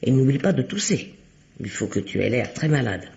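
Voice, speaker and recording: A woman playing a bossy, sharp older spinster amateur sleuth in a radio mystery, imperious and precise. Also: very deep voice, sing-song, normal speed, creaky, husky, full character voice. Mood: stern, commanding. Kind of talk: vintage French radio mystery acting. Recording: dull, lo-fi old radio recording.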